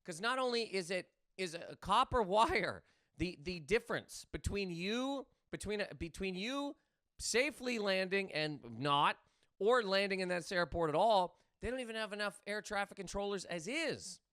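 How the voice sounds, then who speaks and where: Guy comedian ranting at the camera, high crisp voice, super dry sound, incredulous and emphatic, trips over words. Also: expressive, moderate pace, fairly bright tone, dry recording. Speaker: comedian and host.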